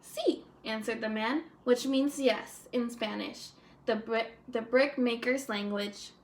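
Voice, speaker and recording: High-voiced, slow-paced woman reading a story. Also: steady tone. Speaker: teacher reading aloud. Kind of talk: expressive children's story read-aloud.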